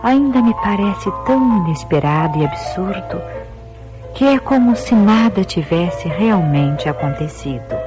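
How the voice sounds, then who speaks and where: A woman's voice: medium pitch, lively intonation, a little slow, over music, close to an everyday voice. An actress playing an unsettled woman in a radio mystery play. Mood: troubled. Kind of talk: radio drama acting.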